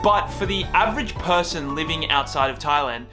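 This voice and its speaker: A man's voice: fairly high pitch, animated, a little fast, over music. A man hosting a casual explainer vlog, wry, joking and opinionated. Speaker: YouTuber.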